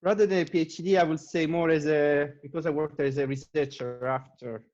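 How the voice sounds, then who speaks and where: A man's voice: a little high, fairly even intonation, fairly quick, a little mellow, lo-fi sound. A man from Italy, a native Italian speaker with an Italian accent, answering questions as an interview guest in a conversational style. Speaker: interview guest, data scientist.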